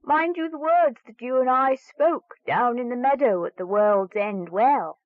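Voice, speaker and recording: Casting: woman as goggle-eyed fairy-tale frog, croaky, insistent, singsong wheedling, pitched up. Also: measured pace, no echo, phone-quality sound, close to an everyday voice. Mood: pleading, insistent. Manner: singsong, rhyming entreaties with endearments. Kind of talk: fairy tale storybook reading.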